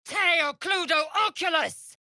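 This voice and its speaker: A woman's voice: extremely high pitch, slow pace, sharp tone, no echo, studio quality, exaggerated voice. An actress playing a fierce, proud young alien warrior woman in a fantasy video game, speaking in an imperious, clipped, scornful way with formal phrasing. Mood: battle cry.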